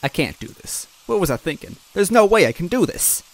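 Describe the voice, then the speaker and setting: Timid panicky plumber, read by a man, mumbling, voice jumps up high. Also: very animated, brisk, exaggerated voice. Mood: panicked.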